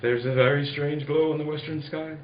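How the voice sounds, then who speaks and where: A man's voice: on the high side, steady tone, fairly quick, close to an everyday voice. A man playing a grave, desperate scientist father facing his planet's doom in a 1940s-style radio drama. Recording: roomy, dull, noisy room recording.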